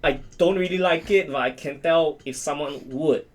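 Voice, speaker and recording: A man's voice: on the high side, moderate pace. A man chatting casually as a podcast guest, rambling and repeating himself, often tagging his phrases with "right". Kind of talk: casual chat.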